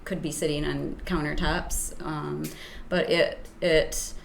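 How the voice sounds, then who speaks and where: A soft-voiced woman teacher talks slowly and a little hesitantly, often pausing on fillers. Her voice sits fairly low, and a faint hiss of noise runs behind her. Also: level tone, creaky, poor audio. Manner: hesitant, with many ums and restarts. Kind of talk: interview guest, casual explanation.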